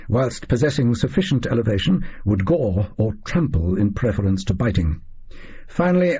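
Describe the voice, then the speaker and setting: A man voicing a brisk, eccentric, self-assured Victorian detective with an English accent and a pedantic, emphatic manner in an audio story. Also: mid-range voice, dark tone, husky, highs cut off, heavily acted.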